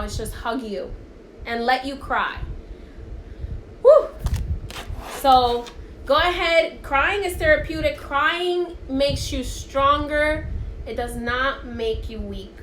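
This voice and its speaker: A woman's voice: sits high, fairly bright tone. A woman whose first language is Spanish, giving a live-streamed spiritual card reading in a chatty, encouraging way that keeps checking in with her viewers. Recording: echoey room, faint background hiss.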